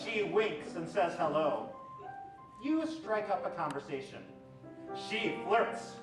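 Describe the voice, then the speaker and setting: A man's voice: sits high, animated, takes it slowly, over music. A man playing a stern wartime army narrator giving a dramatic public-service warning in a staged old-time radio show. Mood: sly, dramatic. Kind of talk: 1940s radio propaganda announcement. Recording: very echoey distant stage recording.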